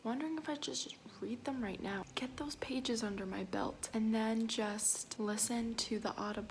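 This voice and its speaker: A woman's voice: fairly high pitch, moderate pace, slight background noise. A tired, sleep-deprived woman vlogging casually to her viewers, informal and rambling with a self-mocking manner. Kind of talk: casual reading vlog, talking to viewers.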